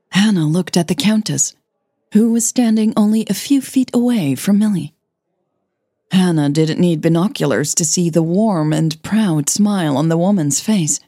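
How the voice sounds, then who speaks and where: A woman who narrates and hosts a fiction podcast, telling the story in a measured, dramatic way with deliberate pauses. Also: lowish voice, warm tone, slightly rough, no echo, studio quality. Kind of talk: scripted audio fiction narration.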